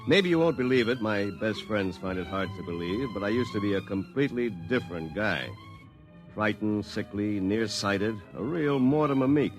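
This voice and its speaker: A man's voice: fairly low pitch, animated, moderate pace, close to an everyday voice. An actor playing a self-satisfied lawyer telling his own story, confiding and wry, as 1950s radio drama narration. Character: self-satisfied, successful male attorney recounting his story. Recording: 1950s radio broadcast, music behind.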